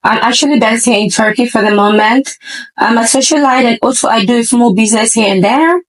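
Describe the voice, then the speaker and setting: A woman's voice: a little high, a little fast, rough recording. A woman, speaking frankly as a guest on a talk show and giving her own views plainly. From Tanzania. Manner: frank and opinionated. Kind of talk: casual talk show chat.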